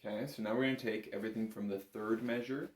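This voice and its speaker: Male music instructor, deep voice, informal step-by-step teaching delivery, echoey room sound. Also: fairly even intonation, fast talker, heavy background noise. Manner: informal, instructive, counting out notes. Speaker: bagpipe instructor.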